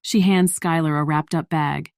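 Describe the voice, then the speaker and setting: A woman's voice: sits low, steady tone, normal speed, vocal fry, a little mellow, clear, resonant tone, dry recording, clean studio sound. A woman reading scripted story narration in the role of narrator.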